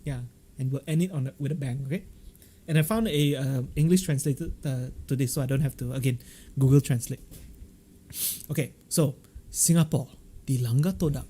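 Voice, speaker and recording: A man with a Malaysian accent hosting a casual livestream, chatting and telling stories in an animated, jokey way full of local slang. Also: mid-range voice, normal speed, slightly rough. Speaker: livestream host. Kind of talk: casual live-stream chat and storytelling.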